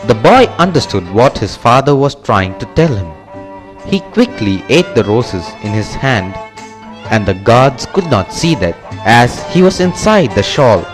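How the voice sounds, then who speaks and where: A man narrating a children's story in a clear, expressive way. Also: medium pitch, unhurried. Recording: music under the narration.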